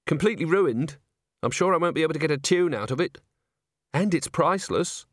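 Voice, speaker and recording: A man's voice: a little high, expressive, fairly quick, dry recording, studio quality, pitched above the performer's own voice. A man doing a plaintive mournful young man. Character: mournful fair-haired young man, organ tuner.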